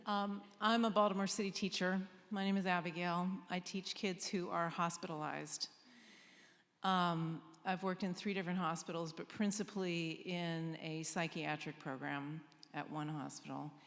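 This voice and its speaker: A woman's voice: on the low side, fairly even intonation, normal speed, fairly bright tone. A woman, a teacher, speaking candidly and articulately off the cuff from the audience. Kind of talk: spontaneous personal comment. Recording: echoey hall reverb.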